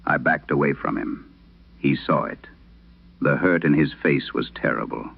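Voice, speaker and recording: Very deep, gravelly man telling horror stories. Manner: grave, deliberate, dramatic phrasing. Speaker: host and narrator of a horror audio drama. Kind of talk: vintage radio horror storytelling, reading a letter. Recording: narrow-band vintage radio sound.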